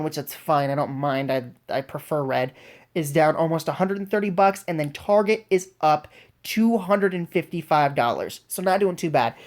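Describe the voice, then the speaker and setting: Low-voiced woman with a head cold. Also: darkish tone. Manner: enthusiastic, informal. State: congested with a cold. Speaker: host of a personal investing channel. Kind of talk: casual vlog commentary.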